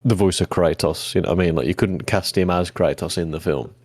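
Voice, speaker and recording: A man, co-hosting a casual podcast chat, easygoing and swearing freely, with a deep voice that turns mumbly when relaxed. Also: breathy edge, dry recording, studio quality. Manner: easygoing, swears casually, quick agreeing "yeah"s. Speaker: podcast co-host.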